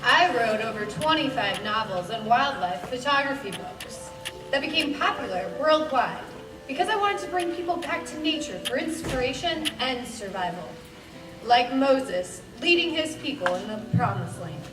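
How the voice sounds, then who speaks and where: Woman as a high-voiced, proud nature novelist. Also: lively intonation, normal speed. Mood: proud, earnest. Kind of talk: amateur radio play, scripted speech. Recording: echoey room, distant mic, music behind.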